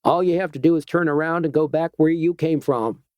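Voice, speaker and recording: A man's voice: fairly high pitch, a little fast, a little mellow, clear, resonant tone, no echo, studio quality, pitched above the performer's own voice, natural voice. A man voicing a patient, menacing rural male vigilante with a twangy Georgia accent, in an audiobook. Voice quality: twangy, drawling, sniffing.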